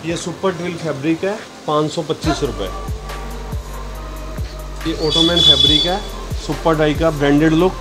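A man's voice: a little high, steady tone, moderate pace, pauses often, over loud music, low-quality recording. Terse shopkeeper man reading prices. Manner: terse, matter-of-fact. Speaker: shop owner. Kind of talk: listing wholesale prices. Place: indoor wholesale shop.

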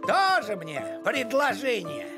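A man playing an officious, crafty older village postman in an animated cartoon, creaky-voiced, fussy and pompous. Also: high voice, slow, music in the background, full character voice. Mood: competitive, haggling.

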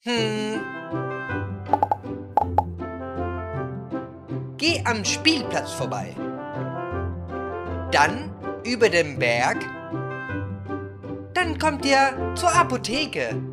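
A woman's voice: a little high, wildly swooping pitch, very slow, pauses often, music in the background, exaggerated voice. A woman voicing a friendly, helpful talking smartphone navigator in a children's cartoon, giving instructions in a sing-song way.

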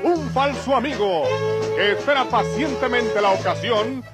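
A man's voice: extremely high pitch, measured pace. A Mexican man announcing an old radio serial in a dramatic, declamatory style. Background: loud dramatic music.

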